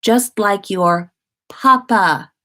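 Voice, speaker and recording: Woman playing a young wizard dad, doting, playful, teasing, unhurried, storybook reading. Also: medium pitch, bright, forceful tone.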